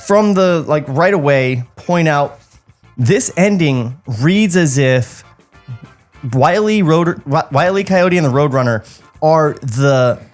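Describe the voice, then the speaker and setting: A man's voice: on the high side, animated, takes it slowly, faint music behind. A man co-hosting a podcast, reading stage directions aloud in a table read with joking asides.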